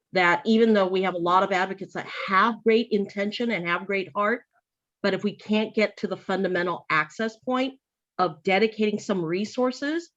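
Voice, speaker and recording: A woman's voice: mid-range voice, fairly bright tone, highs cut off. A woman speaking earnestly in a panel discussion on advocacy work, often restarting her sentences as she thinks. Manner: earnest, thoughtful, with frequent restarts.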